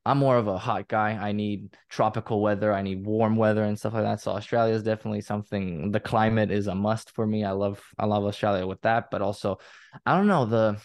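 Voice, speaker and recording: A young man with a Croatian accent, talking casually as a guest on an interview show. His voice sits low and carries a gravelly, fried edge, and he rambles, restarting his sentences and filling the gaps with fillers. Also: fairly even intonation, brisk, darkish tone. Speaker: guest, content creator.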